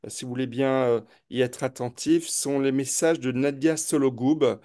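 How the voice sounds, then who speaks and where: A man hosting a live public debate, speaking in a halting way and often repeating words as he moderates. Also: medium pitch, fairly even intonation, warm tone.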